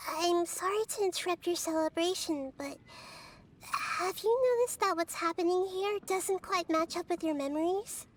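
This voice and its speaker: A composed little girl goddess from a video game. The voice is high, soft and childlike, yet measured and articulate, with a faint noise underneath. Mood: gentle, serious. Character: gentle, wise childlike girl deity, composed. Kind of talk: animated video game voice acting.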